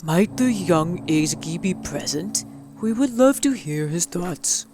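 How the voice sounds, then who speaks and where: A stilted, eerily courteous door-to-door canvasser in a mystery game, put on by a woman. The voice is lowered and formal, with false cheer over faint music. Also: lively intonation, slow. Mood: politely menacing.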